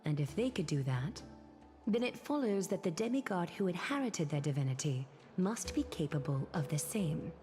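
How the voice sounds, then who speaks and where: An actress playing a regal, knowing noblewoman in a fantasy video game, speaking in a formal, poised and deliberate way. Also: on the low side. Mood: assured, instructive. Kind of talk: video game story acting.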